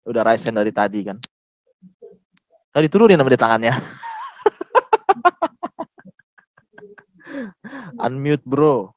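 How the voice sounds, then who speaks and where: Lively man moderating a call. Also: mid-range voice, drawn-out words, long pauses, dry recording. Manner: laughing, joking, lively. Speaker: moderator of online discussion. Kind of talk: casual moderating. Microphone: video call. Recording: dull lo-fi call audio.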